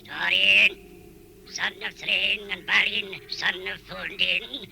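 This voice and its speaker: A man playing an ancient, solemn talking raven in a vintage radio drama, creaky and croaking, speaking slowly and formally. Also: sits high, level tone, bright, forceful tone, slight background noise, heavily acted. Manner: slow, formal, declamatory.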